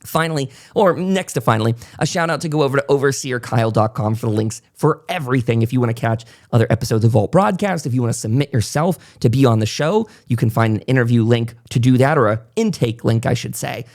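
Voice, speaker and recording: A man's voice: medium pitch, lively intonation, fast talker, few pauses, darkish tone. A man hosting a casual, unscripted podcast in a chatty, informal manner. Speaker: podcast host.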